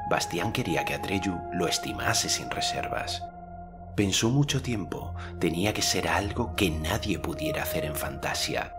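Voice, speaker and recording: A man narrating an audiobook in a measured, unhurried manner. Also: low voice. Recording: narration over clear background music.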